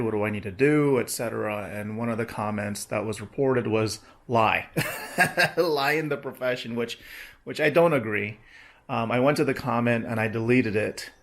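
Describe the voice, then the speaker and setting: A middle-aged man with a hoarse, sore voice, rambling casually in a video diary about his work. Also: mid-range voice. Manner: rambling, many restarts and fillers. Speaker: medical coding vlogger.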